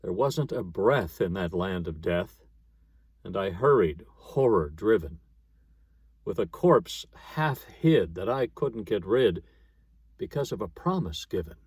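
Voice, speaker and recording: A man narrates a dramatic poem at a slow, measured pace. His voice is somewhat husky, recorded dry without room sound. The delivery is rhythmic, in the manner of a storyteller. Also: sits low, animated, darkish tone, no room sound. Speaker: narrator, poetry reader. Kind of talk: dramatic narrative poetry reading.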